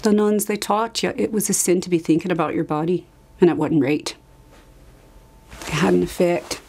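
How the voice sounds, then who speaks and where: A woman acting out a dramatized monologue as an anguished asylum survivor recalling her past, halting, with emphatic repetitions. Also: lowish voice, fairly even intonation, dark tone, slightly rough. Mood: desperate, resolute. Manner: halting, emphatic repetition, sudden drops to whisper. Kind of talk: dramatized monologue, confessional testimony.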